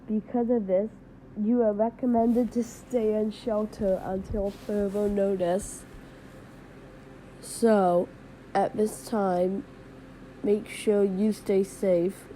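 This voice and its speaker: A woman playing a radio host giving halting, measured emergency updates in a fictional broadcast. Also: medium pitch, level tone, mellow, slight background noise, lightly acted, rough recording. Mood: measured, concerned. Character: female radio host relaying werewolf invasion news.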